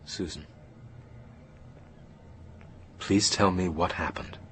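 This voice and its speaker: A patient therapist in a radio play. His voice is deep and measured. He asks careful questions in a reassuring way. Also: fairly bright tone, a little hiss or hum. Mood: patient, soothing. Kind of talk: naturalistic radio drama acting, counselling.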